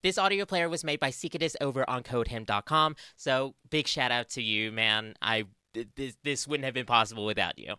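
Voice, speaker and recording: Aussie woman doing a tutorial voiceover, crisp n bright, close dry mic. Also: a bass voice, a little fast, few pauses, no room sound. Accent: Australian. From Australia. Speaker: tutorial presenter, voice actor. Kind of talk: scripted tutorial narration. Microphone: close studio mic on boom arm.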